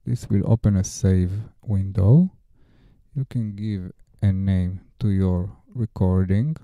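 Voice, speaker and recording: A man gives a scripted how-to narration at a very slow pace. His voice sits on the low side and has a heavy creak. He moves plainly from one step to the next. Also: no echo, narrow, tinny audio. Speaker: DIY tutorial narrator. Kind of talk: scripted instructional voice-over.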